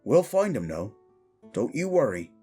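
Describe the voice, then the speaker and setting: Man acting a intense, expressive fantasy protector. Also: medium pitch, measured pace, slightly rough, faint music behind. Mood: concerned. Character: protective young commoner man, gruff and devoted, guarding royalty. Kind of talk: fantasy audio roleplay acting.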